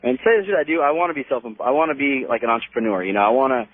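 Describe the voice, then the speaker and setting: A man's voice: mid-range voice, sing-song, very fast, no echo. A man in his early twenties with an American accent, chatting casually as a caller on a radio show. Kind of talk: casual phone-in chat. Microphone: telephone. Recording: tinny phone line on radio.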